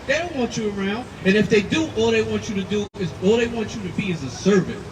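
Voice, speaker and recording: Man, street preacher, high voice, emphatic, distant mic, loud street noise. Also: expressive, quick pace. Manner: forceful, emphatic, repeats phrases.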